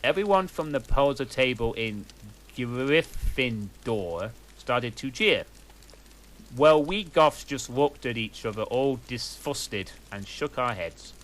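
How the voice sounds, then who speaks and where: A man reading a badly written story aloud in a slow, deliberate way, mock-dramatic and sarcastic. He reads out the typos and punctuation with dry mockery, in a voice of everyday middle pitch. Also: lively intonation, a bit bright. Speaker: reader of a fan story.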